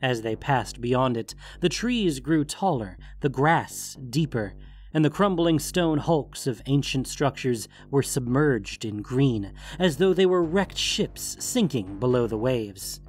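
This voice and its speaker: A man's voice: medium pitch, expressive, slow pace, breathy edge. A man reading scripted fantasy audiobook narration, with music playing behind him.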